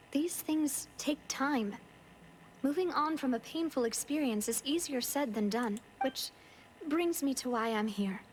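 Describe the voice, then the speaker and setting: A poised young lady leader voiced by an actress in a video game, with a high voice. She speaks in polite, measured, frank phrases over clearly audible music. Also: lightly acted.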